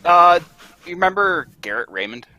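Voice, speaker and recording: A young man co-hosting a livestream, making dry deadpan quips in casual chat. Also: sits high, fairly quick, lo-fi sound. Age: young adult. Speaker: livestream co-host.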